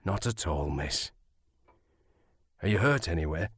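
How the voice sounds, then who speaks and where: A kind old-house servant in a period drama, low husky voice, gentle n reassuring. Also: male voice, animated, measured pace, dry recording, clean studio sound, heavily acted. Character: kindly, reassuring male servant of a moorland house. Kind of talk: period audio drama acting.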